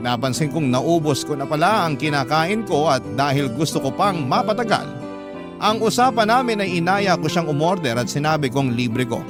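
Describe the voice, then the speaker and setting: Male narrator, confessional storytelling, slightly high, guitar bed. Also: animated, moderate pace. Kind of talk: scripted first-person drama narration. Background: guitar music.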